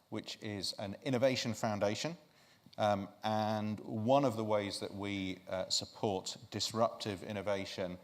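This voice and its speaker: A chief investment officer introduces himself on a conference panel. His voice is very deep, and he talks in a brisk, businesslike way with a few stumbles. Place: conference hall stage.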